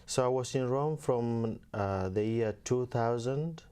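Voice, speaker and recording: A young man from Kenya, a seminarian guest, talking casually and softly with many fillers. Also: medium pitch, slow pace, clear, resonant tone. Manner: soft-spoken, many fillers, laughs easily. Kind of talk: casual interview talk.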